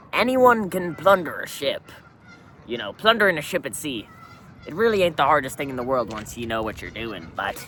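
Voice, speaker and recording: A crude, swaggering pirate who rambles through offhand rants, dropping "matey" at every turn, as a man puts him on. The voice is bright and forceful, with a clear edge, over faint outdoor noise. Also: medium pitch. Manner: pirate slang, keeps saying "matey". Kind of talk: comic character monologue.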